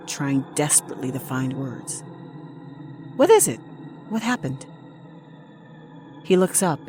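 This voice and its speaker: A woman's voice: sits low, exaggerated voice. A woman playing a guarded, scheming nurse who narrates her revenge tale in an intimate, suspenseful audio drama style. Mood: tense, suspenseful. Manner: intimate, suspenseful, acted delivery. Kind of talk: dramatic first-person audio fiction narration. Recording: dramatic music behind the voice.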